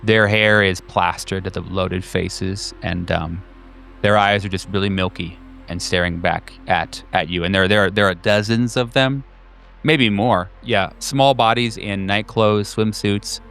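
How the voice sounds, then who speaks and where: A man working as game master, improvising narration for a horror tabletop game in a halting, informal way. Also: lowish voice, steady tone, vocal fry, a bit bright. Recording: music behind the voice. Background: background music.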